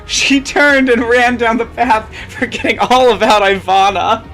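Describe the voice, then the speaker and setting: A woman's voice: on the high side, steady tone, a little slow, fairly bright tone. Woman narrating a spooky story over music. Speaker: fan fiction reader.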